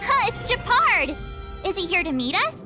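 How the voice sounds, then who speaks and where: A woman's voice: very high-pitched, big pitch swings, normal speed, over music. A woman voicing a small, wide-eyed, cheeky child in a video game, exclaiming eagerly. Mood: excited wonder.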